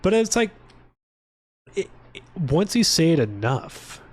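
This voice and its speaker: A man's voice: a little high, sing-song, slow pace, a little mellow. A man live streaming, chatting casually to his viewers in an informal, rambling way with exasperated asides.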